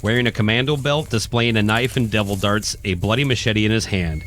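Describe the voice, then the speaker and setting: A man's voice: fairly low pitch, a little fast, a bit bright, slight background noise. A man reading scripted narration for a horror radio play. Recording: faint music behind the voice.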